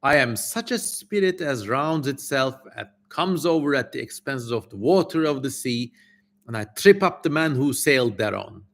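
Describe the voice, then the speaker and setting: A man's voice: mid-range voice, lively intonation, normal speed, a bit bright. Man playing a fierce, boastful sea demon. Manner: boastful, declamatory. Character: fierce, greedy male sea-spirit demon, mighty and boastful. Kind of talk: dramatic reading of ancient scripture.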